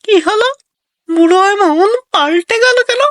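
A woman's voice: extremely high pitch, animated, moderate pace, a bit bright. A woman voicing a cautious, nagging child who frets through a children's cartoon fairy tale. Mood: uneasy. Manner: fretful, nagging. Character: cautious, scolding child, a nervous companion in mischief.

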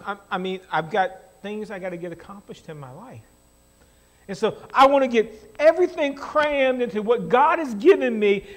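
A middle-aged American man giving a conversational sermon in an animated, emphatic way, asking rhetorical questions. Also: high-pitched. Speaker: preacher. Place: church stage at a lectern, indoors.

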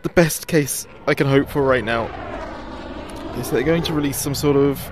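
A man acting as a radio DJ in an audio drama, reporting a disaster live in a halting, anxious, fearful way. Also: medium pitch, noisy background, lightly acted. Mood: alarmed, urgent.

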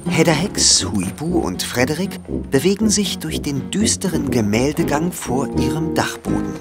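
A man's voice: a little high, big pitch swings, normal speed, loud music behind the voice. A man narrating a children's audio drama as a scripted storyteller.

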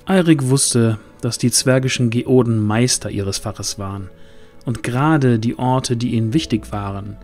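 Male fantasy narrator over soft music. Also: medium pitch, measured pace. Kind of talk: scripted fantasy audio drama narration.

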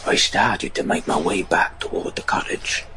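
A man playing a haunted, superstitious rural trapper in a gothic horror radio drama, with a rough, husky voice. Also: low-pitched, lively intonation, normal speed, sharp tone, slight background noise, exaggerated voice. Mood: haunted recollection.

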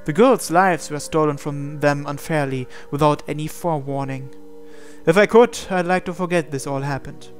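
A man reading a visual novel aloud as expressive narration, in a measured, dramatic way. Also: on the high side. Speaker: gaming channel host reading aloud. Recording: voice over game music. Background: game music.

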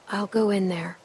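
A woman voicing a gentle, brave, sincere young girl survivor with a husky voice in a video game drama. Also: medium pitch, a little hiss or hum.

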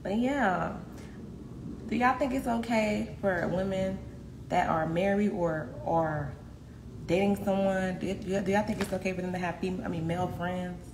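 A woman's voice: medium pitch, fairly even intonation, unhurried. Chatty young woman livestreaming from her kitchen. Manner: candid, chatty, laughs often, swears freely. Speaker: livestream host. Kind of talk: casual livestream chat with viewers. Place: home kitchen indoors. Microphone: phone at arm's length. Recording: echoey kitchen with faint noise.

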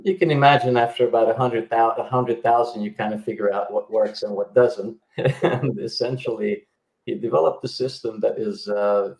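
A man's voice: lowish voice, gravelly, darkish tone, roomy sound, low-quality recording. A middle-aged man from Yugoslavia, a healer guest explaining his work over a video call, with many fillers and restarts. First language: Hungarian. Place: indoor home room.

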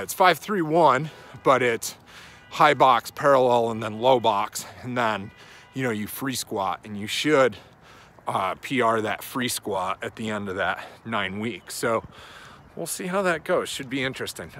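A man's voice: mid-range voice, pushed and bright. A man in his thirties, a coach, rambling casually and thinking aloud as he walks outdoors, talking into a handheld phone. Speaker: strength and conditioning coach. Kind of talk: casual walking vlog monologue. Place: outdoors on a suburban residential street. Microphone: phone held at arm's length.

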